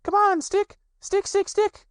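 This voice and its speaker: A man voicing an excitable small young kangaroo boy who chatters eagerly in a very high, squeaky voice. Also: fairly quick, no room sound, studio quality. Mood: excited.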